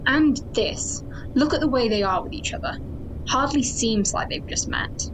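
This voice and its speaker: Sci-fi audio drama: female security chief, actress, higher register, rigid, suspicious, formal, with clear ambient noise behind. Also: expressive, normal speed, close to an everyday voice. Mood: insistent, suspicious. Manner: clipped, formal, officious. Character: suspicious, hard-line female security chief, military officer.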